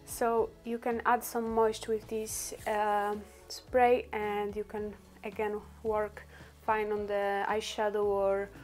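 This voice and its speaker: A woman's voice: medium pitch, completely flat delivery, takes it slowly. Give me a woman, native Polish speaker, chatting casually with lots of ums and laughs over faint music. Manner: chatty, many fillers, laughs often. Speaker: beauty vlogger. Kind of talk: casual makeup tutorial.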